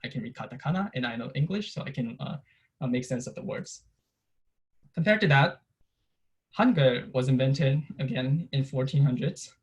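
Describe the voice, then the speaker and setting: A young man from Korea, a native Korean speaker, giving a casual presentation to engineers about the Korean script, halting and full of fillers and restarts. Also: mid-range voice, low-quality recording.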